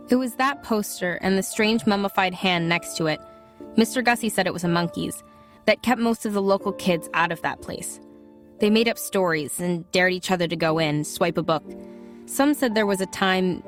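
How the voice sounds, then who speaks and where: Low-voiced American teenage girl narrator, played by a woman. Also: natural voice. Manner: reflective, storytelling. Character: troubled, bookish teenage schoolgirl narrating her story. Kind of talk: scripted first-person drama narration.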